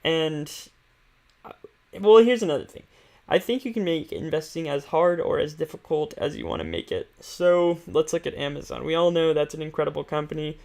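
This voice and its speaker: A man with a somewhat high voice and a clearly creaky, fry-laden edge explains things off the cuff. He speaks informally, like a stream host walking viewers through material, hesitating as he thinks aloud. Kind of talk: casual unscripted explanation of company finances.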